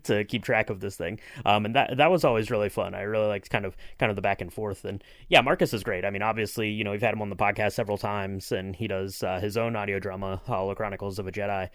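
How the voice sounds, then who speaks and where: A man with a fairly low voice speaks in a very dry, echo-free recording. He chats as a podcast co-host, easygoing and a little rambling. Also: steady tone, fast, hardly any pauses, a bit bright. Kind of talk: casual conversational chat.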